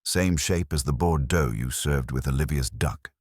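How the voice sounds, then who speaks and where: A man plays a detective's wry right-hand man in a mystery audiobook. He is very low in pitch and recorded dry. His replies are clipped and alert. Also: moderate pace, husky, no echo.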